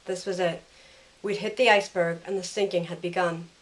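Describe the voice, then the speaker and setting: A woman's voice: lowish voice, fairly even intonation, a little fast, close to an everyday voice, low-quality recording. A woman playing a caring young heroine who narrates her own ordeal in a scripted first-person audio drama. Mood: tense narration.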